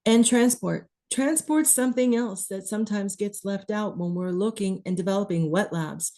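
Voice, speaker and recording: A woman teaching clinicians about priming and running a circuit, slightly hoarse as she is losing her voice, in a measured, explanatory way. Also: medium pitch, warm tone. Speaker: ECMO educator. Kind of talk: instructional talk.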